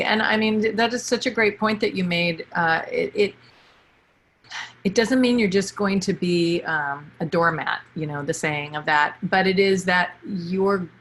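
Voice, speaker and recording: A woman's voice: on the low side, a bit bright. A woman guest in a podcast chat, speaking earnestly and encouragingly. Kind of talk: casual heartfelt chat.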